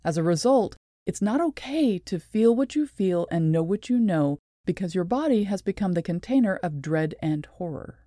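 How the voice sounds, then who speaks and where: A woman presenting a scripted explanatory talk in a measured, deliberate and articulate way. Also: lowish voice, expressive, mellow, no room sound, clean studio sound. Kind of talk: scripted explanatory narration with read quotations.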